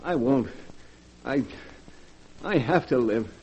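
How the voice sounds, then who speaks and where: An actor playing a frightened, guilt-ridden accomplice who stammers and pleads, in a 1940s radio drama. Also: male voice, mid-range voice, expressive, slow, a little mellow, breathy edge, heavily acted. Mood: fearful. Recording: dull old radio recording.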